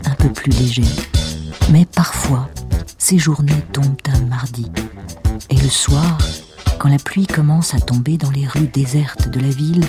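A wry female storyteller narrates a radio drama. Her voice is extremely low for a woman, with noir gravity. Music plays clearly beneath her. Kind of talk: scripted narration in audio drama. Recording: music under the voice.

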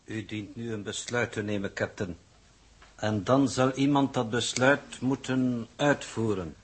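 Icy coordinator for vintage Dutch space serial: husky edge, clipped formal speech, detached, Netherlands accent. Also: male voice, medium pitch, measured pace. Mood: cold, insistent. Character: cold, precise coordinator, man, coolly insistent. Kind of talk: 1960s Dutch radio drama acting.

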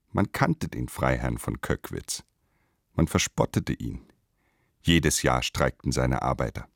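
A man's voice: low voice, no room sound, studio quality. A man reading a scripted literary radio play narration in clipped, terse phrases.

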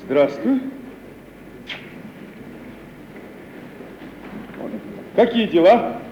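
High-voiced anxious young rustic lover. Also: male voice, big pitch swings, unhurried. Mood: sulky. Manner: folksy, colloquial, grumbling speech. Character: anxious lovesick young merchant's son, rustic and plaintive. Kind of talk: 1950 Russian radio play acting. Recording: muffled, hissy 1950s radio recording.

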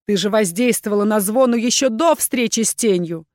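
A woman's voice: medium pitch, fairly quick, darkish tone, no echo, studio quality, lightly acted. A woman voicing a cold, stern male duke and rector who interrogates with scornful, commanding authority. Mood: stern interrogation.

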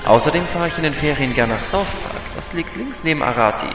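An actor playing a young, cocky, excitable gnome mage in a parody audio drama. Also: male voice, fairly high pitch, fast talker, lightly acted. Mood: excited, cocky. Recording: echoey hall, music behind.

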